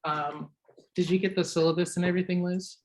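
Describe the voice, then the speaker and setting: A soft-spoken class leader in a church meeting room, opening an informal class. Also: medium pitch, level tone, a little fast, a little hiss or hum.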